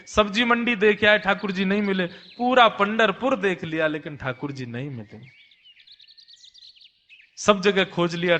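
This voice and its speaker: A man with a high, bright voice tells a devotional tale in an expressive way. He leans on repeated phrases for emphasis, and his voice rings with long hall reverb. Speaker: devotional storyteller.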